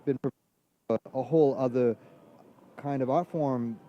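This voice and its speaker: A man from Trinidad chatting casually as a musician being interviewed, using relaxed hip hop slang. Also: mid-range voice, unhurried, highs cut off, heavy background noise. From Trinidad, from San Fernando. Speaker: jazz trumpet player, interview guest. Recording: loud music in the background.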